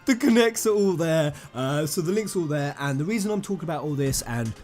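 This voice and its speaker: A man hosting a fan theory video, rambling and emphatic, addressing his viewers directly in an excited commentary style. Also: fairly high pitch, fairly quick. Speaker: gaming YouTuber, host. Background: music under the voice.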